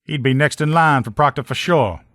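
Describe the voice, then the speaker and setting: A man voicing a gruff, loyal military lieutenant in a video game, with blunt, profane, folksy soldier talk. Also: a little high, a little fast, fairly bright tone, dry recording, studio quality, natural voice. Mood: conversational. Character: gruff, loyal military lieutenant in power armor, protective comrade. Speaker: voice actor in a game mod. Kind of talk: video game character dialogue lines.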